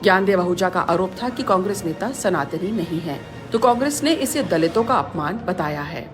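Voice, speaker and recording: A woman's voice: lowish voice, lively intonation, moderate pace. A woman reading a scripted news report as a voice-over. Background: clear music bed.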